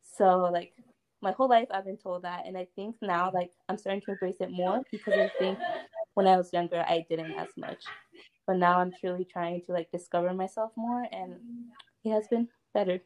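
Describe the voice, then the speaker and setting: A woman's voice: lowish voice, fairly even intonation, normal speed, darkish tone, a little hiss or hum. A young woman on a student panel, talking hesitantly and using "like" constantly. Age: young adult. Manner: hesitant, heavy use of "like". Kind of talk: casual panel discussion.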